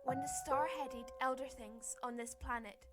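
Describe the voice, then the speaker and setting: High-pitched scholarly woman explorer played by an actress, over music. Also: fairly even intonation, moderate pace, close to an everyday voice. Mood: grave, ominous. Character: woman professor and explorer, awed, grave, scholarly. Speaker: actor in an audio drama. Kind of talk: 1930s-style radio horror acting.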